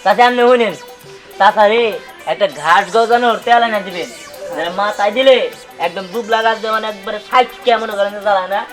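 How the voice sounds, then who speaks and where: Woman, quick talker, chatty, advising, faint music. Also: medium pitch, fairly bright tone. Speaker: neighbor giving advice in a comic drama. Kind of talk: comic village drama acting.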